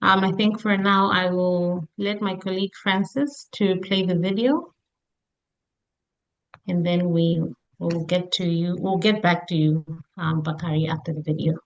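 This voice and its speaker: A woman's voice: fairly low pitch, fairly even intonation, a little slow. A woman moderating an online meeting, who politely thanks the speakers and hands over to a colleague. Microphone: video call. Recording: dull video call audio, distant from the mic.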